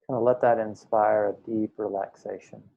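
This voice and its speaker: Man leading a slow guided meditation, muffled recording. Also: on the low side, fairly even intonation, creaky. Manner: unhurried, pausing between short phrases. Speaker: meditation teacher.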